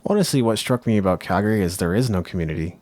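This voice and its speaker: A man's voice: low voice, very fast, a little mellow, no room sound. A man co-hosting a podcast, giving his opinions casually and reflectively, often saying "like" and rephrasing as he goes. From Japan. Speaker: podcast co-host.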